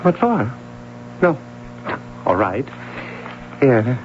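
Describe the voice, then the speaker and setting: A man's voice: mid-range voice, big pitch swings, very slow. An actor playing a hesitant, defensive middle-aged father in a radio mystery drama. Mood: guarded. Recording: dull, hissy old radio recording.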